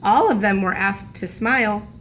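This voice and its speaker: A woman teacher reading a children's storybook aloud in a deliberate, expressive way. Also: mid-range voice. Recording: background noise in room recording.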